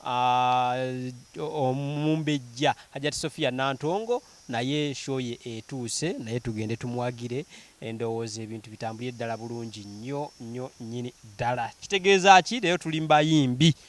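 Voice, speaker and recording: Playful Ugandan man interviewing. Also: medium pitch. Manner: playful, teasing, quick to laugh. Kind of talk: casual interview chat.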